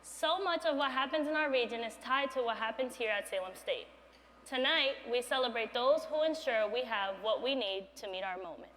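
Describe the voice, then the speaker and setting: A woman's voice: fairly high pitch, normal speed, in a large hall, background noise. Young woman giving a heartfelt speech. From Dominican Republic. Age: young adult. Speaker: student speaker. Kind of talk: prepared speech at a campaign event.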